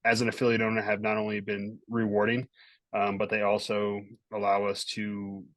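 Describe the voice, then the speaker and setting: A man's voice: lowish voice, monotone, normal speed, no echo. A man hosting a group call, speaking casually and encouragingly as a gym owner. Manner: encouraging, informal. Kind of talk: casual closing remarks on a group video call.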